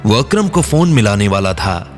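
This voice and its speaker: A man's voice: on the low side, very animated, moderate pace, faint music behind. A man reading scripted narration as the storyteller of an audio drama.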